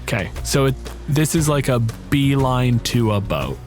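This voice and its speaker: A man's voice: mid-range voice, sing-song, unhurried, a little mellow. Man running a tabletop game over music. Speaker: game master. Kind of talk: casual improvised narration.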